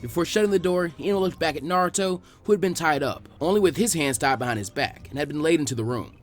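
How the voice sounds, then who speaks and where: A man reading fan fiction aloud as an expressive scripted narration. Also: fairly high pitch, fast talker, faint music behind.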